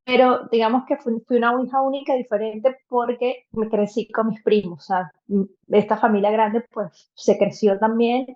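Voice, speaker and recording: A woman's voice: on the high side, fairly even intonation, moderate pace, highs cut off. A Venezuelan woman in her thirties, a podcast guest telling a personal story earnestly, with many fillers and restarts. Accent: Venezuelan Spanish.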